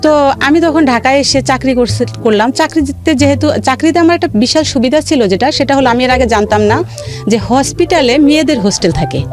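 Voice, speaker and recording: A woman's voice: high voice, a little fast. A woman with a Bangladeshi accent telling her life story in a radio interview, emphatically repeating phrases for stress. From rural Bangladesh. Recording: music under the voice.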